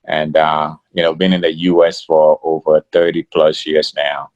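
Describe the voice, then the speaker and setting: A middle-aged man from Ghana, a guest in an interview, talking in a relaxed way with many fillers. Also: very deep voice, normal speed, highs cut off. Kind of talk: casual interview talk.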